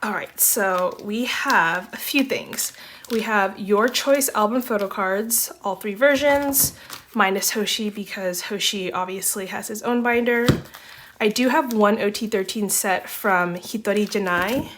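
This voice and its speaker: Young adult woman vlogger, slightly congested, relaxed rambling chat over faint noise. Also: medium pitch, a little slow. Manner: chatty, rambling, often says um and like. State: slightly congested, recovering from laryngitis. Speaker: photocard collecting vlogger. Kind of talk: casual chat while sorting.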